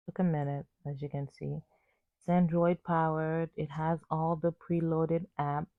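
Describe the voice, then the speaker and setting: Narrow phone-like dry sound, androgynous voice, noticeable vocal fry, casual unboxing, short plain hesitant sentences. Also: medium pitch, little pitch movement, measured pace, dry recording. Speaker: amateur product reviewer.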